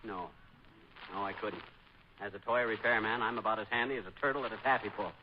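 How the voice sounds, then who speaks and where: A man playing a folksy, blustering, wisecracking but soft-hearted husband in a 1940s radio comedy. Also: fairly low pitch, fairly even intonation, fast talker, natural voice. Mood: sheepish. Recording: muffled, noisy old radio recording.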